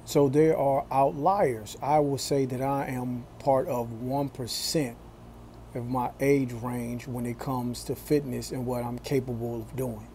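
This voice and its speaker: A man in his forties talking to his phone camera in a small room, slow and deliberate, with a preachy tone. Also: medium pitch, flat delivery, mellow, slight background noise. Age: 40s. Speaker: vlogger. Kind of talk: casual talk to camera.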